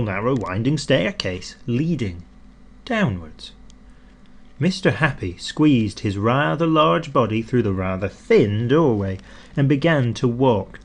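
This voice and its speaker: A man narrating a children's storybook expressively, with deliberate emphasis on key words. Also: medium pitch. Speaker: audiobook narrator.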